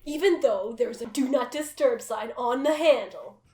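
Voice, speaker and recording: High-pitched woman narrating, echoey room. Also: normal speed, a little mellow. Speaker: narrator of a group dramatic reading. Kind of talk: amused amateur narration of a comic story. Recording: roomy echo, faint music behind.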